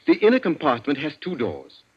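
Brief: sly, urbane captive inventor, husky and drawling, old lo-fi radio sound. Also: male voice, fairly high pitch, wildly swooping pitch, full character voice. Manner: drawling, mocking, unhurried. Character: smug, urbane male inventor held captive. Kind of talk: 1940s radio acting. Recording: dull lo-fi vintage radio recording.

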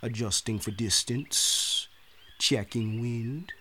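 An actor playing a crude, gleeful, trigger-happy biker thug with a brash, slangy delivery. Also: male voice, medium pitch, takes it slowly, pushed and bright, breathy edge, full character voice. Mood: eager.

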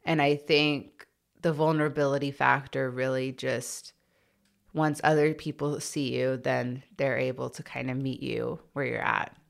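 Podcast host, woman who stutters, low voice, slow and candid. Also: steady tone, gravelly. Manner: stutters, with blocks and repeated sounds; candid and reflective, with many fillers. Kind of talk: casual solo podcast answering listener questions.

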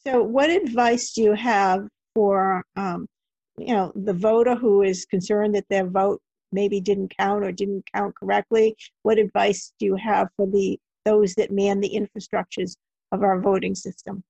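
A woman's voice: mid-range voice, fairly even intonation, a little slow, gravelly, dark tone, slightly rough, no room sound. A woman hosting an interview, asking questions and commenting in a conversational way, on a dull, lo-fi recording. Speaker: interviewer, host.

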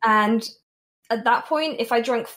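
A woman's voice: on the high side, measured pace, fairly bright tone. Chatty young woman guest. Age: young adult. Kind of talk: casual stream chat.

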